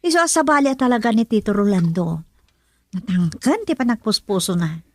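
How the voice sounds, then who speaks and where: A woman's voice: medium pitch, lively intonation, brisk, no echo. A Filipino woman acting in a radio drama, playing an outspoken woman protective of her grandchild. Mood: indignant, confiding.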